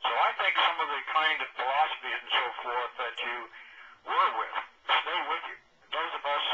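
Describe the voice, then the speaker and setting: An earnest man in his seventies speaking deliberately as a former politician on a conference call. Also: medium pitch, noisy background. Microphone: telephone conference call. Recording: muffled, distant phone line.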